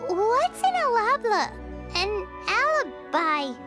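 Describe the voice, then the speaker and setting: A woman voicing a tiny, cheeky, childlike fairy companion in a video game, squeaky and full of exclamations. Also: very animated, slow pace, over music, exaggerated voice. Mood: eager. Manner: speaks of herself in third person, exclaiming.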